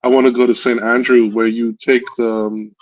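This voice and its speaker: A man's voice: mid-range voice, flat delivery, moderate pace. A man with a Guyanese accent streaming and chatting casually, rambling through stories and laughing often. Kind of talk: casual chat. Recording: dull lo-fi stream audio.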